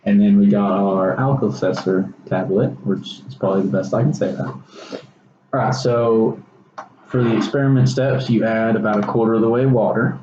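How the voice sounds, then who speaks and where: A young man with a Texas accent and a lowish, soft-edged voice talks through an informal science demonstration. He explains in a casual, slightly hesitant student way. The recording is dull and echoes around the room. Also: fairly even intonation, normal speed. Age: young adult. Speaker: student presenter. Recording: roomy echo, dull sound, faint noise.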